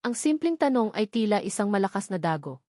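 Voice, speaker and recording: A woman's voice: medium pitch, very animated, a little fast, warm tone, dry recording, clean studio sound. A woman with a Filipino accent reading a scripted, dramatic romance story as its narrator.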